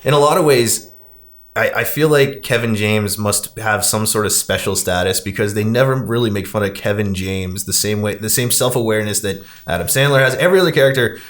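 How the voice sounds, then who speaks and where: Low-voiced man casually recapping a film. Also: a little fast, a bit bright. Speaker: podcast host recapping a film.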